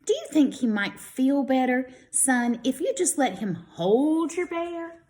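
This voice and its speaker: A woman voicing a firm but gentle storybook father speaking to his young son in a coaxing parental tone. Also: high-pitched, expressive, measured pace, pitched above the performer's own voice.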